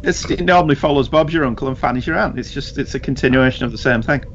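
A man's voice: medium pitch, quick pace, faint music behind. British guy cracking dry jokes on a podcast, kinda muffled lo-fi audio. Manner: wry, joking, breaks into sing-song bits. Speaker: podcast co-host. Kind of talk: casual chat.

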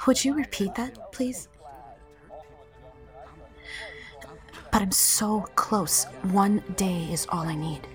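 A woman playing a cold, dangerous femme fatale in an audio drama, with a measured, cutting politeness. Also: mid-range voice, animated, soft background music, lightly acted. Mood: deferential, urgent. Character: cold, dangerous, beautiful woman with veiled menace.

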